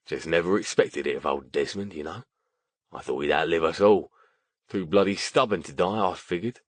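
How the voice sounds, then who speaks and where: Man putting on a matey low-voiced Cockney bloke. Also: moderate pace, no echo. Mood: rueful. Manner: matey, colloquial. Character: small wiry Cockney man, chummy, teasing old friend.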